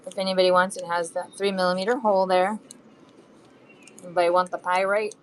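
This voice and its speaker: A woman's voice: lowish voice, level tone, a little fast, slight background noise. A woman running a live online sale, chatting casually with buyers as she shows beads and charms, her throat a bit phlegmy. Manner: chatty, hums and sings to herself between items. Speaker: live auction seller. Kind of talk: live selling stream chat.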